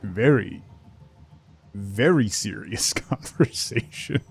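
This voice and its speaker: Creaky-voiced man chatting on a game stream. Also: lowish voice, animated, takes it slowly, faint music behind. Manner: rambling, conversational, reads chat and game text aloud. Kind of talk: casual livestream chat over gameplay.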